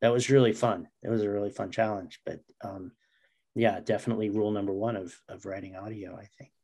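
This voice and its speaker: A man's voice: on the low side, steady tone, a little fast, darkish tone. A middle-aged man chatting casually as a writer guest in an interview over a video call. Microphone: video call microphone.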